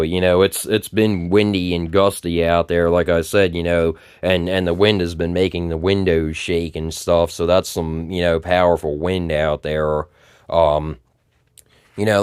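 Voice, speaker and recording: A deep-voiced man, a little raspy just after waking, chats loosely and repeats himself as he fills the gaps. His tone stays smooth and resonant. The sound is close and dry, with no room echo. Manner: rambling, many fillers and repeated words. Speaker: tech vlogger. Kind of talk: casual screen-recorded commentary.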